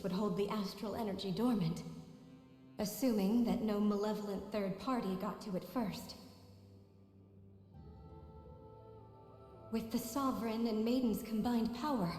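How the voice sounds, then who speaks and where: A woman acts as a young maiden haunted by her visions, her words soaked in long, echoing reverb with music behind them. She confesses in a solemn, measured way, full of regret, like a dramatic video game monologue. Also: medium pitch, a little mellow, close to an everyday voice. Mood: regretful, resolute.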